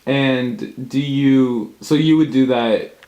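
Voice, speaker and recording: Young man, interviewer, hesitant and rambling, average pitch. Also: takes it slowly, a little mellow. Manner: hesitant, many fillers and restarts. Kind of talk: casual family interview.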